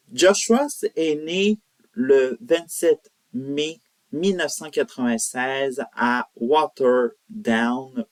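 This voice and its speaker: A man hosting a music profile, narrating informally and haltingly, correcting himself as he goes. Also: medium pitch, dry recording. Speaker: host of a country music profile show. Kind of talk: semi-scripted biographical narration to viewers.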